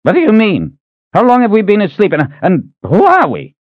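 A cranky old wizard with a cane, shrill and blustering, his voice leaping high and swinging wildly as he protests, put on by a man narrating. The recording is dry and a little dull. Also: huge pitch swings, moderate pace, no echo. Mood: alarmed.

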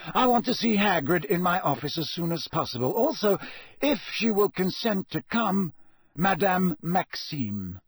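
A man reading aloud and voicing a wise, elderly headmaster with a husky, measured, formal delivery. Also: sits high, very animated, no room sound, pitched above the performer's own voice, heavily acted. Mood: commanding. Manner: measured, commanding, gently formal. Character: old wise male headmaster, calm authority.

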